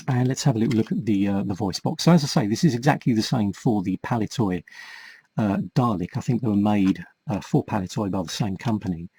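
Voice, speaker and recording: A man's voice: lowish voice, warm tone, a little hiss or hum. A man in his forties giving a casual hands-on tutorial, explaining things step by step in a hesitant, conversational way. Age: 40s. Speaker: vintage toy repairer and presenter.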